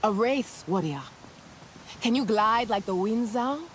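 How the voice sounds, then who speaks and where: Casting: brash warrior racer for a game, lively pitch, goading delivery, slight background noise. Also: female voice, unhurried. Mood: taunting. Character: cocky, taunting young clan racer woman. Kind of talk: game character acting.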